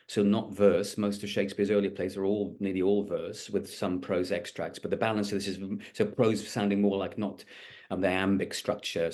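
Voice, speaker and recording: A man's voice: fairly low pitch, darkish tone. A middle-aged man with a light Welsh accent, a stage actor answering interview questions thoughtfully, with frequent restarts. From Wales. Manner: thoughtful, many restarts and fillers.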